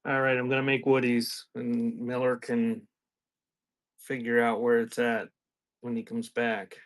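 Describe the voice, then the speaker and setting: A man's voice: medium pitch, completely flat delivery, measured pace. A man hosting a live sale in casual, off-the-cuff chat, half muttering to himself as he works and half addressing viewers. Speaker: live auction seller, host.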